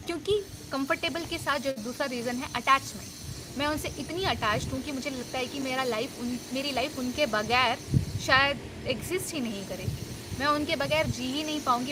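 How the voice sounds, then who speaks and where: A woman's voice: high-pitched, noisy background. A woman life coach giving an earnest, reassuring self-help talk, mixing English words into her Hindi.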